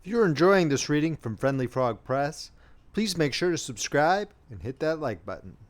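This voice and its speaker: A man narrating as an audiobook reader, telling a story in an expressive, measured, scripted style. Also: fairly high pitch.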